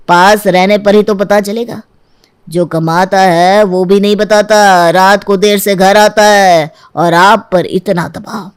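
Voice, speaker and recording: A woman's voice: mid-range voice, clear, resonant tone, natural voice. A woman voicing a smug, meddling male divorce lawyer in a story, reassuring and insinuating.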